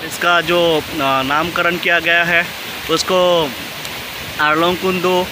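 A man's voice: on the high side, steady tone. Chatty young man vlogging by a stream. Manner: chatty, repeats "दोस्तों" and "मतलब", addresses viewers. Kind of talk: casual travel vlog narration. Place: outdoors by a forest stream and picnic shelter. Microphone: phone held at arm's length. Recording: noisy outdoor phone recording. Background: rushing stream water.

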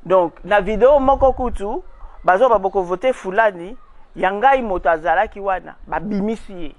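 A woman's voice: medium pitch, moderate pace, a little mellow, no echo. A woman in her forties with a Congolese accent, giving an emphatic outdoor interview on a lapel mic. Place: outdoors in a garden.

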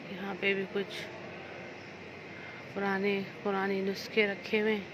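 A woman's voice: medium pitch, monotone, unhurried, noisy background. A woman making short casual remarks as she films inside a large mosque hall on a phone. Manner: brief pointing-out comments.

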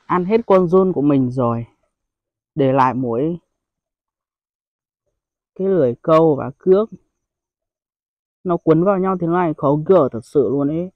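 Young man, fairly high voice, casual outdoor vlogger, dry close phone audio. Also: normal speed, pauses often, no echo, phone-quality sound. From Vietnam. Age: young adult. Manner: chatty, addresses viewers as brothers, frequent exclamations. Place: outdoors among rice paddies and hills. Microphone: phone held at arm's length.

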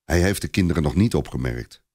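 A man's voice: extremely low pitch, animated, fast talker, darkish tone. A man narrating a scripted story expressively, as a storyteller.

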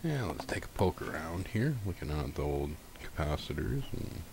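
Very deep-voiced man, noisy recording. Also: sing-song, normal speed, gravelly. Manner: informal, folksy, unscripted. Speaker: host of a repair tutorial. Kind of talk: casual step-by-step how-to narration.

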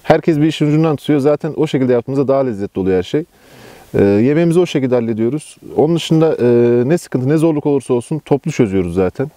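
A man's voice: mid-range voice, animated, fast talker, mellow, no room sound. A young man explaining his camping group in a casual, earnest interview as its organizer. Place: outdoors at a campsite.

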